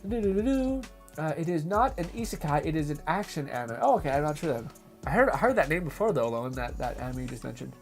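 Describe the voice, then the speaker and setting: A man's voice: on the high side, big pitch swings, quick pace, faint music behind. A young man hosting a livestream in rambling casual chat, reading chat aloud and laughing, his voice going from a dry throat. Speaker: livestream host.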